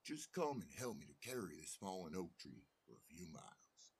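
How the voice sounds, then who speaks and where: A man voicing a huge, scornful giant in a storybook tale, with a slow, haughty and grudging manner. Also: low voice, very animated, darkish tone, husky, voice pushed down, full character voice. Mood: challenging. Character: huge scornful giant, gruff and easily outdone. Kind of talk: storybook character voice.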